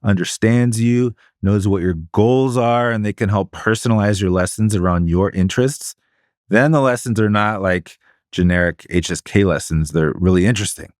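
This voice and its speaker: A man co-hosting a podcast, explaining study methods in a measured, casual way. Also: on the low side, darkish tone, dry recording, clean studio sound. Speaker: podcast co-host.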